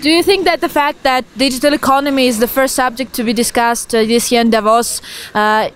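A young woman reporter asking street interview questions outdoors at night into a handheld mic, with earnest, slightly halting phrasing. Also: on the high side, steady tone, slight background noise. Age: young adult.

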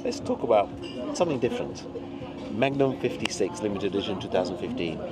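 A man's voice: lowish voice, lively intonation, brisk, a loud crowd. A middle-aged man hosting a casual, chatty lunch conversation, repeating phrases for emphasis. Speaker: host, cigar retailer. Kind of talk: casual lunch chat on camera. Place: outdoor restaurant table by stone wall. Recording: music behind the talk.

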